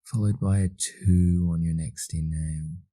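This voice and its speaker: An Australian man leading a guided meditation in a soothing manner, leaving long pauses between slow instructions. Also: low-pitched, steady tone, mellow, no room sound, clean studio sound.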